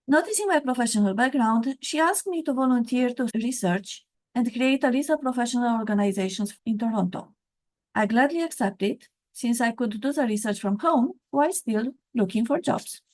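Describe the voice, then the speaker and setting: A middle-aged woman from Romania, reading her own story aloud in a measured, reflective way. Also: on the high side, darkish tone.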